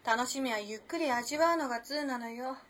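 A woman's voice: high voice, normal speed, natural voice. An actress playing a teasing, self-possessed, mysterious modern girl of the 1910s in a radio drama, using old-fashioned feminine speech. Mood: playful, teasing. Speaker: actor in amateur radio drama. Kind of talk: Japanese radio drama acting, storytelling. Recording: noisy background ambience.

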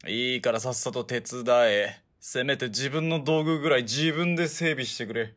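Guy acting gruff tired gunman, radio drama, medium pitch, blunt rough talk, kinda sardonic. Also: normal speed, a bit bright, natural voice. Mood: irritated. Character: gruff, world-weary male sniper-team partner, wryly nagging.

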